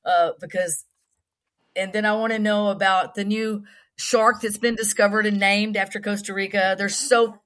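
Excited host, trips over their questions, can't stop asking stuff. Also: mid-range voice, moderate pace. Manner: eager, stumbling over questions. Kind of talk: casual interview.

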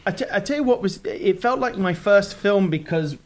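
A man's voice: sits high, lively intonation, normal speed. A man from London with an English accent, a film director talking earnestly in a casual interview, often restarting his sentences. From London, England. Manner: earnest, hesitant, with frequent restarts.

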